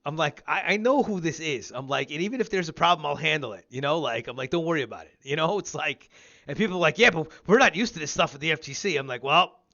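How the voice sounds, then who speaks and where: A man's voice: on the high side, expressive, quick pace, pushed and bright, muffled recording. A man of about fifty hosting a casual gaming interview, talking in a rambling, repetitive, emphatic way. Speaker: show host interviewing a guest.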